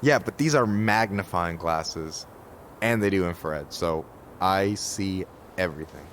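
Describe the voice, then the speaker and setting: A man playing a joking, warm-hearted young friend in a video game, bantering in a natural, playful way. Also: low-pitched, unhurried. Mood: playful teasing. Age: young adult. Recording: faint outdoor ambient noise behind.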